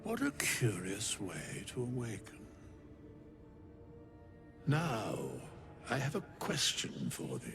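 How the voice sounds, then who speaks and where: An ancient undead sage from a fantasy game, low and gravelly. He speaks very slowly and formally in archaic phrasing, solemn and ponderous, over music. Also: wildly swooping pitch, full character voice. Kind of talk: fantasy video game acting.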